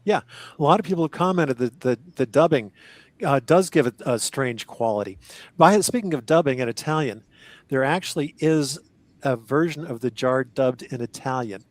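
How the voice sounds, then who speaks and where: An older man recalls his acting days in a casual podcast chat, rambling and correcting himself mid-sentence and laughing between thoughts. His voice sits at a middle pitch, and the sound is close and dry with almost no room in it. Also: expressive, normal speed, no echo. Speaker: actor guest.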